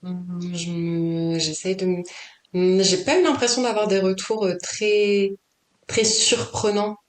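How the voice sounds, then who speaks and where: A woman's voice: mid-range voice, fairly even intonation, a little slow, echoey room. A woman poet chatting as a podcast guest, thinking aloud with many fillers and restarts. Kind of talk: casual conversation.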